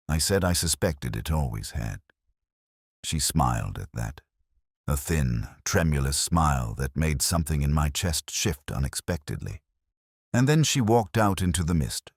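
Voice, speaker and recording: A man playing a brooding, world-weary police inspector who narrates his case in solemn, measured, literary prose, like a melancholy detective audio story. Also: extremely low pitch, husky, no echo, clean studio sound, exaggerated voice. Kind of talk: scripted first-person detective story narration.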